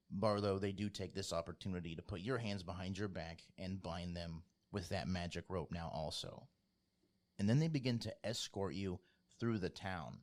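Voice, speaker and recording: A man narrating a tabletop role-playing game as game master, in a measured, informal, descriptive storytelling style. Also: deep voice. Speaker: game master, podcast host.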